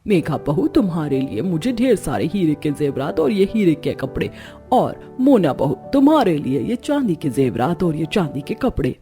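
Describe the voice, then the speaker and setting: A woman's voice: low-pitched, very animated, moderate pace, warm tone. A woman voicing a boastful, condescending middle-aged mother-in-law in a cartoon story, with music behind. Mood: proud. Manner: condescending, taunting. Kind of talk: Hindi cartoon story voice acting.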